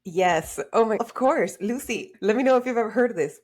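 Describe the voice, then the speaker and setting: A woman's voice: fairly high pitch, a little fast, breathy edge. A woman in her thirties from Honduras, a career coach telling her story as a podcast guest with many "like" fillers. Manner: animated, frequent "like" fillers. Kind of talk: podcast interview conversation.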